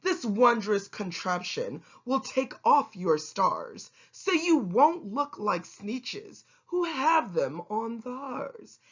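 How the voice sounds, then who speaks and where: Lady doing a sly boastful salesman guy from a rhyming storybook, slow pitch swoops, dull lo-fi sound. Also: big pitch swings, full character voice. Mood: sly, reassuring. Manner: smooth-talking sales patter, boastful. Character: sly, fast-talking male salesman peddling machines. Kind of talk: rhyming storybook read-aloud.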